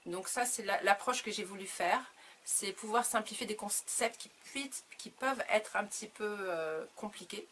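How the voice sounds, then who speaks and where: A woman speaking her native French as an author in an interview, reflective and halting as she searches for words. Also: medium pitch, a bit bright, a little hiss or hum. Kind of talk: reflective interview answers about own work.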